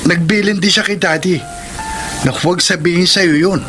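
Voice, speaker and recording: A man's voice: high voice, normal speed, natural voice. An actor playing a concerned young man in a radio drama, earnestly explaining troubling news. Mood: grave, explaining. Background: music.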